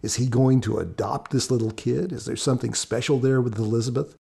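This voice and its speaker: Man, mid-pitched, soft dark tone, husky, author giving scripted commentary. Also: steady tone, fairly quick. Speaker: author, podcast guest.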